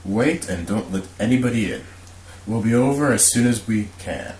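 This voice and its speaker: A man playing an authoritative, brisk police commissioner in an amateur radio drama. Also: fairly low pitch, a little mellow, close to an everyday voice. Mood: businesslike. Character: authoritative middle-aged police commissioner, steady, businesslike. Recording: roomy echo, faint hiss.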